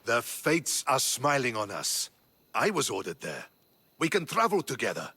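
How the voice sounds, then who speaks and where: An actor playing a wry, warm male soldier ally. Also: mid-range voice, huge pitch swings, normal speed, vocal fry, slightly rough, dry recording, full character voice.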